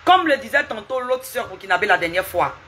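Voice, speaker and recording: A woman's voice: medium pitch, lively intonation, brisk, bright, forceful tone, a little hiss or hum. A woman live streaming, lecturing and scolding someone with repeated rhetorical questions and asking viewers for hearts.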